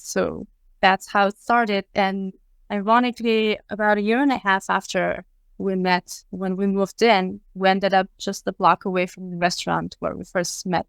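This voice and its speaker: Bulgarian-accented woman, smooth clear voice. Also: medium pitch, no room sound. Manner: measured, with frequent ums and uhs. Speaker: interview guest. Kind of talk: casual conversation.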